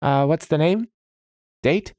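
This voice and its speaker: Portly male aide, narrator doing the voice: raised pitch, flustered, hesitant, eager to please, storybook fantasy. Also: slow pace, a little mellow, clean tone, no echo, clean studio sound.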